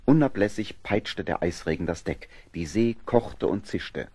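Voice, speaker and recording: A man narrating a scripted radio play in a measured, literary storytelling manner. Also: deep voice, lively intonation, heavy background noise.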